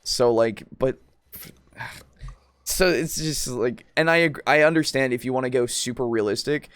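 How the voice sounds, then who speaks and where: A man's voice: mid-range voice, measured pace, a bit bright, no echo. A man chatting informally with friends as a podcast co-host, swearing freely. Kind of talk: casual discussion among friends.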